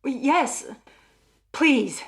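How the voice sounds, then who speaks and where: A desperate, frightened wife played by an actress in an old radio melodrama. Her voice sits fairly high, and she speaks with breathless urgency and nervous hesitation. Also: lively intonation, very slow, fairly bright tone, full character voice. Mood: desperate pleading.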